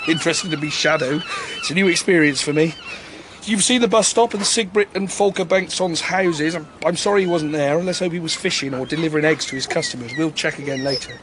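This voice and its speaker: A man's voice: on the high side, wildly swooping pitch, fast talker, noisy background. A man playing a candid, chatty small-town police chief in a radio drama, conversational and slightly hesitant. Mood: relaxed, friendly. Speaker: actor in a radio crime drama. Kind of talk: naturalistic radio drama dialogue.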